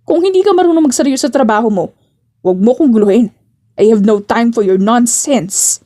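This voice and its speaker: Woman voicing a stern, brooding male boss, mellow tone. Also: a little high, animated, a little fast, natural voice. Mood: irritated. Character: cold, brooding male boss, serious and impatient lover. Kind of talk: audio drama romance acting.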